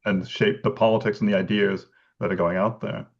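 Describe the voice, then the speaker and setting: Gravelly low-voiced man on a dull call line. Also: steady tone, fairly quick. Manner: thoughtful, many restarts and ums, laughs lightly. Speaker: bookselling researcher guest. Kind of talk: conversational explanation.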